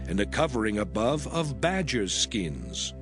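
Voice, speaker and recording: A man narrating a dramatized scripture reading in a solemn, measured, formal manner. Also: on the low side, very animated. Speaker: audio Bible narrator. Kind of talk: dramatized scripture reading from the King James Bible. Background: background music.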